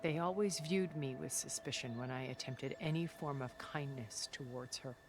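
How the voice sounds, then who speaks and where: An actress voicing a cultured, centuries-old woman recluse in a video game cutscene, speaking in a calm, deliberate and elegant way. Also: extremely low pitch. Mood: measured. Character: cultured, ancient woman recluse, measured and regretful. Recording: game cutscene with music underneath.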